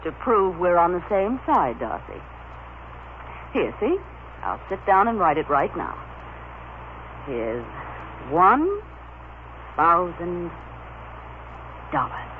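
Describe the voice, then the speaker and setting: Radio soap villainess: coolly wicked, deliberate, swooping delivery, narrow old-broadcast sound. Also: female voice, lowish voice, big pitch swings, heavily acted. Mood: scheming, insistent. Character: scheming, coolly wicked woman bargaining with accomplice. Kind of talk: old-time radio soap opera acting. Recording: muffled, noisy vintage radio recording.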